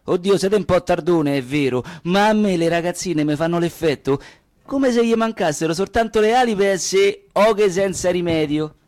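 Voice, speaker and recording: Actor as a high-voiced, brash Roman flirt. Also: male voice, moderate pace, a little mellow, close to an everyday voice. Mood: cheeky flirting. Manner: colloquial, insistent, teasing. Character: brash, cheeky middle-class-pretending male suitor, crude flirt. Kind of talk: theatrical comic scene acting.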